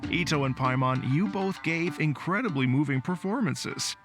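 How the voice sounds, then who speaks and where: A man voicing a genial, earnest gentleman musician in a video game, courteous and heartfelt. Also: fairly high pitch, normal speed, soft background music, natural voice. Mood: grateful, conciliatory. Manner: polite, earnest, poetic.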